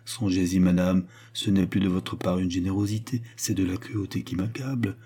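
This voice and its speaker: Man acting a solemn, devoted nobleman, dark-voiced. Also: low voice, fairly even intonation, moderate pace, slightly rough. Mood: urgent pleading. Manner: solemn, intense, declamatory. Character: grave, devoted nobleman, jealous lover of a queen. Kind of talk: dramatized historical novel reading.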